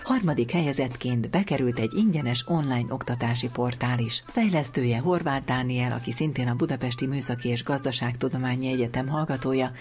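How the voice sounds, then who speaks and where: A man's voice: medium pitch, darkish tone. A man hosting a radio programme, asking interview questions and presenting.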